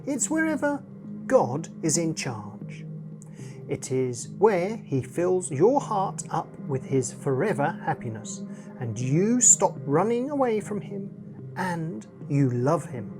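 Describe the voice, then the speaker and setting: Lowish voiced woman reading a kids story, very animated but slow, music behind. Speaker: story reader. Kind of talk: expressive storybook narration for children.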